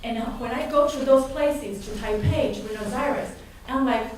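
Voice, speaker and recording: A woman who grew up in Argentina gives an earnest, halting public comment at a town meeting, restarting her sentences often. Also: fairly high pitch, a little mellow. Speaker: resident speaking at a public hearing. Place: large echoey meeting hall. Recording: echoey hall, distant mic, some noise.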